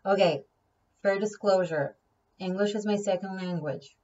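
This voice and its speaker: A woman's voice: medium pitch, a little slow, dark tone, recorded from a distance, lo-fi sound. A woman whose first language is Hebrew, giving a casual tarot reading, chatty and thinking aloud, often repeating key words. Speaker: tarot reader.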